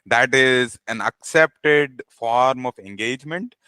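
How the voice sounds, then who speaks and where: A man's voice: mid-range voice, slow pace, sharp tone, smooth, dry recording. A man hosting an interview podcast, speaking hesitantly, with many repeated words and "you know" fillers. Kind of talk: casual interview talk.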